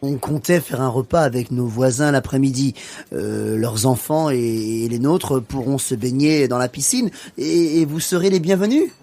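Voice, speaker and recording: A man's voice: mid-range voice, normal speed, gravelly, dark tone. A man playing a friendly, welcoming French husband and father in an educational radio play. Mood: friendly, hospitable.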